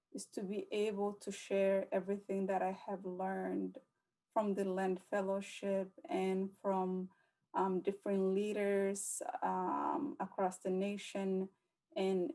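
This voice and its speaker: A young woman from the United States speaking as a panelist at a virtual conference, measured and careful, often pausing on um. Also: mid-range voice, vocal fry, mellow. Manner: measured, with frequent um fillers.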